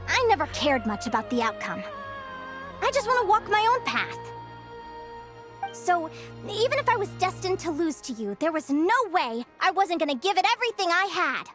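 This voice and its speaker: Super high bubbly game heroine, young and cheery, excitable, really swingy voice. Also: female voice, normal speed, over music. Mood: earnest, resolute. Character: cheerful, stubbornly optimistic young woman adventurer. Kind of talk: animated video game voice acting.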